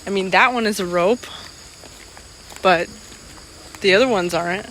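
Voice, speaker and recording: A woman's voice: medium pitch, unhurried, a bit bright. A woman chatting casually outdoors on a trail, rambling and filling her sentences with "like". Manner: rambling, filler-heavy, repeats "like". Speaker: vlogger. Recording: noisy outdoor audio with insect ambience. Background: insects and nature ambience.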